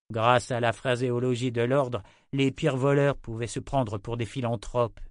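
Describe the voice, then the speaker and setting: A man reading a fantasy novel aloud in a measured, deliberate way as its narrator. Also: mid-range voice, a little mellow, dry recording, clean studio sound. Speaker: audiobook narrator. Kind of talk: scripted narration.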